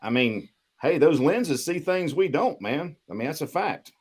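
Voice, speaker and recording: A man's voice: on the high side, sing-song, a little slow, darkish tone, slightly rough. A man chatting casually and telling spooky personal stories in a folksy, slangy way, as a guest on a podcast. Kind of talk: casual storytelling chat.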